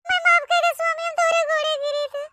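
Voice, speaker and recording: A woman's voice: very high voice, level tone, fast, sharp tone, dry recording. A woman voicing a scheming, dramatic young daughter-in-law in an Awadhi comedy cartoon, with an exaggerated, theatrical delivery and an Awadhi accent. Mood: tearful pleading.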